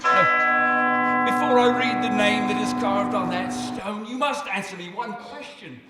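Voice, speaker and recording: An actor playing an older repentant miser who pleads breathlessly and breaks into laughter. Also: male voice, high voice, unhurried, long reverb tail, over loud music. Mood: pleading. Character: repentant old miser, frantic then giddy with joy.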